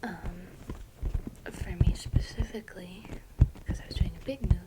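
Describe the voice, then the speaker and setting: A young adult woman whispers breathily in a soft-spoken ASMR style, chatting informally. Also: lowish voice, normal speed. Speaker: ASMR video creator. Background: clear noise, clicks and crinkles from handled items.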